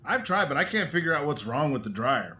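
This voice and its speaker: A woman's voice: low-pitched, sing-song, brisk, bright, forceful tone, heavily acted. A woman playing a practical, nagging family member in a radio play. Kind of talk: contemporary radio play acting.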